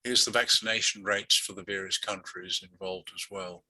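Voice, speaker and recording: A man's voice: low voice, fairly even intonation, normal speed, bright, forceful tone, no echo, low-quality recording. A man hosting an online meeting, walking through statistics and then interviewing a guest, with many fillers and restarts. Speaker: meeting host.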